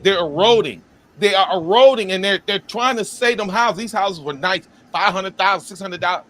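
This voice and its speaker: A man co-hosting a casual sports podcast, talking bluntly and with animated, profane opinions. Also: sits high, moderate pace. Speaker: podcast co-host.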